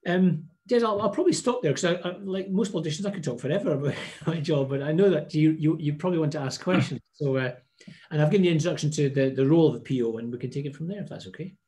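Man, middle-aged, warm low-key tone, video call from an office, measured delivery with restarts. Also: slight background noise. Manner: measured, restarts phrases often. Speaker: parliament presiding officer. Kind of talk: video-call interview answers. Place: office with blinds and a plant.

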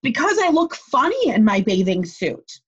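A woman's voice: fairly high pitch, big pitch swings, normal speed, slightly rough, highs cut off, pitched above the performer's own voice. A woman voicing a fussy, easily embarrassed male toad in a children's storybook. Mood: self-conscious, insistent.

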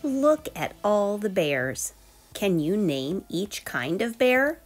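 A woman reading a children's nonfiction book aloud as storyteller, expressive and addressing young listeners directly. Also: medium pitch, slow. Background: background music.

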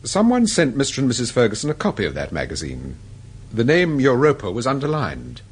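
An actor playing a courteous gentleman detective with an English accent, questioning in radio drama style. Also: mid-range voice, huge pitch swings, brisk, breathy edge. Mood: calm probing. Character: suave, probing gentleman amateur detective.

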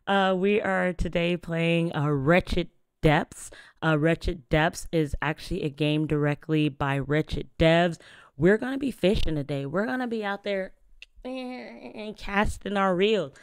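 A young adult gaming streamer with a partly lost voice, chatting casually and reading game text aloud into a close boom mic in a small home room. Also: unhurried, smooth, no room sound. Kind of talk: casual let's-play commentary. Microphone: boom mic on arm, close.

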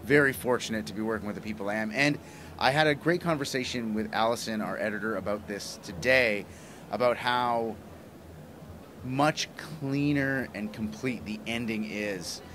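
A man's voice: lowish voice, normal speed. A middle-aged man giving a casual, effusive interview as a guest, speaking into a handheld mic. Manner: enthusiastic, effusive. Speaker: interview guest, comic writer and broadcaster. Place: small plywood-walled booth at a convention. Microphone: handheld interview mic held toward him. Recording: clear background room noise. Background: convention ambience.

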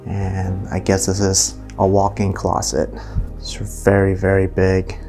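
Man with a flat low voice touring rooms. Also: unhurried, faint music behind. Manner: relaxed, easily impressed, filler-heavy. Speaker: urban explorer vlogger. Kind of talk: casual walkthrough narration. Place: indoors, abandoned mansion rooms.

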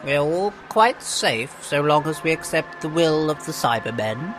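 A man's voice: a little high, lively intonation, unhurried, a bit bright, faint music behind. A man playing a smug, patronizing son who collaborates with the invaders. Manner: patronizing, self-assured.

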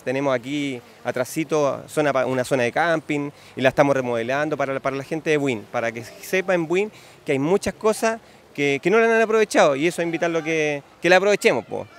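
A man's voice: a little high, a bit bright, a little hiss or hum. A Chilean man in his forties, a sports official, giving spontaneous interview answers into a handheld mic outdoors. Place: outdoors beside a swimming pool. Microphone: handheld interview microphone.

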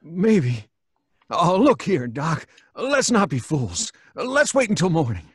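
A man's voice: fairly high pitch, huge pitch swings, slow pace, husky, no echo, clean studio sound, exaggerated voice. A man playing a fearful, superstitious rustic townsman who stammers, in an old-time radio horror play. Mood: uneasy. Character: nervous, superstitious rustic townsman, reluctant sidekick.

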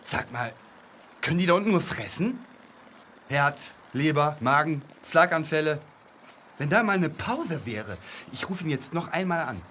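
An actor in a radio play playing a brusque, irritable, sarcastic clerk of the afterlife. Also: male voice, a little high, sing-song, a little slow, pauses often, fairly bright tone. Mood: fed up. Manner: curt, sarcastic. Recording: clearly noisy background.

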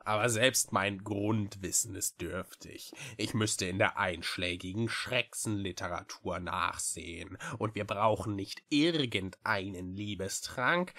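A woman's voice: rumbling low, pushed and bright, full character voice. A woman voicing a grumpy, weary witch-like creature in an audiobook, sardonic and grudging, with a sighing drawl. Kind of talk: audiobook character voice.

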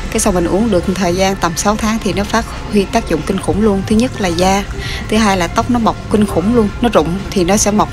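A woman in her thirties talks through products while walking a big pharmacy, with lots of hesitations and restarts. Her phone is held close. Store music plays clearly behind her. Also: mid-range voice. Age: 30s. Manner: chatty, many fillers and restarts. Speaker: shopping vlogger. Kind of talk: casual product walkthrough. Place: large pharmacy store, indoors. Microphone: phone camera held close.

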